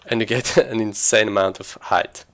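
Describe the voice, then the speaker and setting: A man's voice: lowish voice, fairly even intonation, normal speed, a bit bright, a little hiss or hum. A man giving casual, informal gameplay commentary as a speedrunner, thinking aloud as he plays.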